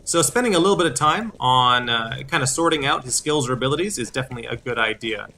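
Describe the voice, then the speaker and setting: A man in his thirties hosting a game walkthrough, explaining things in a halting way. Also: medium pitch, lively intonation, bright, forceful tone, slight background noise. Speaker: video series host. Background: game music.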